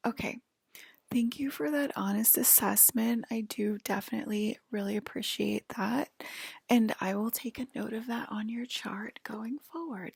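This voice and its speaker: A woman performs an intimate ASMR roleplay, slipping between whisper and soft speech. Her voice is heavily creaky and breathy, with a dry, close sound. She talks in a reassuring, clinical patter, pausing to check that the listener is okay. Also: mid-range voice, dry recording.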